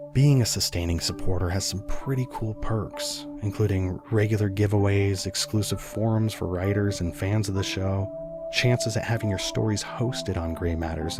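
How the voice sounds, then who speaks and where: A man speaking as podcast host, writer and producer, delivering a scripted outro. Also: lowish voice.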